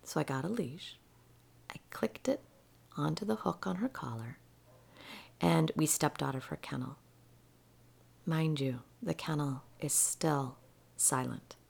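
A woman gently telling a personal story about healing a rescued dog, her voice soft and often dropping to a near whisper. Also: sits low, animated, creaky. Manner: gentle and slow-paced. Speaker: animal healer.

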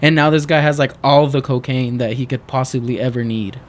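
A man's voice: medium pitch, steady tone, fairly quick. A man who rambles through a casual podcast chat as a guest, swearing, with many fillers. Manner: rambling, profane, lots of fillers.

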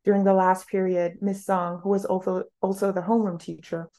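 A woman's voice: medium pitch, flat delivery, a little fast, mellow. A woman author reading literary prose aloud from her own novel in a narrative style.

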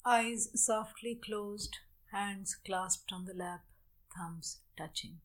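A woman leading a guided meditation as a teacher, giving measured instructions in short phrases with long pauses. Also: mid-range voice.

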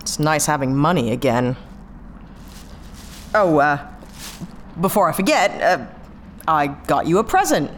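Low-pitched, brisk woman spaceship pilot. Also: animated, slight background noise. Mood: friendly, upbeat. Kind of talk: sci-fi audio drama acting.

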